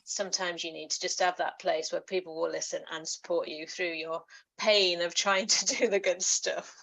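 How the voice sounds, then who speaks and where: A woman's voice: lowish voice, fairly even intonation, normal speed, creaky, a bit bright, rough recording. A woman author as interview guest, chatty and enthusiastic, laughing often as she talks. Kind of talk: book club interview.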